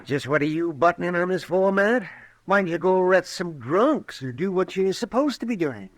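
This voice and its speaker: High-pitched, crotchety old frontier doctor. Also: male voice, lively intonation, normal speed, a little hiss or hum. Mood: testy, sarcastic. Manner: testy, sarcastic, sputtering. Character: crusty, irascible old frontier town doctor. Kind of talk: old-time radio western acting.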